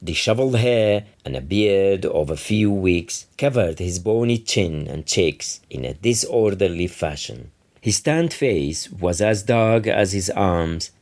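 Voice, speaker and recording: A man's voice: sits low, a little mellow. A man reading literary fiction aloud as its author, in scripted narration. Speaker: author reading from his novel.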